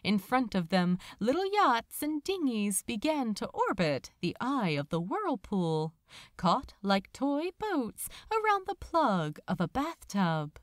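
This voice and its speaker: A woman's voice: a little high, big pitch swings, slow, no echo, clean studio sound. A woman reading fiction aloud as a dramatic, scripted story narration.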